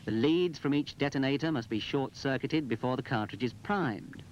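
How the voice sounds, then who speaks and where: A man's voice: mid-range voice, normal speed. Instructive male narrator, muffled old film. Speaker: narrator and presenter. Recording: muffled, noisy 1980s film soundtrack.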